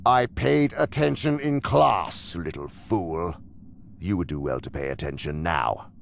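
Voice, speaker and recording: A cunning senior demon, holds forth in a very low, creaky voice with wide, theatrical swings. He is imperious and scornful, lecturing like a tutor in an audio drama, with faint noise behind him. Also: expressive, unhurried, heavily acted. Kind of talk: audio drama monologue-like instruction.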